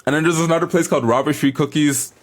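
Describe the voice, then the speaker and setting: A man's voice: fairly high pitch, steady tone, quick pace. A young man vlogging as a bodybuilder, chatting casually to camera in a hyped, informal, slangy way.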